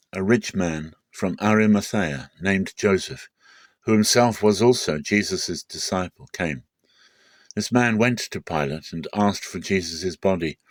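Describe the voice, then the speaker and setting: A man narrating a Bible passage as a measured, deliberate dramatic scripted reading. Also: deep voice, no room sound.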